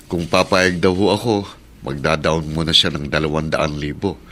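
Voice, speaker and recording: Dutiful adult son, Filipino radio drama. Low, bright-edged voice, polite and calming. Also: male voice, normal speed, slight background noise. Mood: polite, reassuring. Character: respectful, reassuring adult son and husband.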